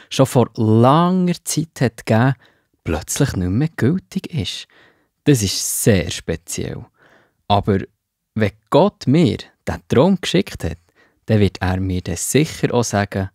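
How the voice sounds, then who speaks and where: A man's voice: lowish voice, a little mellow, no room sound, studio quality, full character voice. A man playing the apostle Peter in a children's audio drama, musing and pondering aloud. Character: Peter, thoughtful kindly bearded apostle man.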